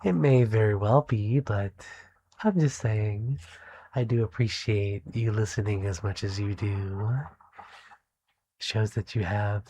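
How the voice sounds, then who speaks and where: A soft-spoken ASMR performer plays a gentle animal doctor with a dark, relaxed tone. The delivery is very unhurried, soothing and encouraging, with deliberate pauses. A faint noise sits behind the voice. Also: mid-range voice. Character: gentle, kindly animal doctor examining a patient.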